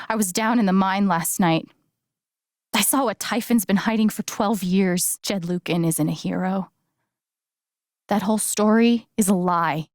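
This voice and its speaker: A woman's voice: mid-range voice, a little mellow, no echo, close to an everyday voice. Earnest, defiant young heroine, played by a woman, dry recording. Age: young adult. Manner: earnest, plain-spoken, emotionally charged. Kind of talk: dramatic video game voice acting.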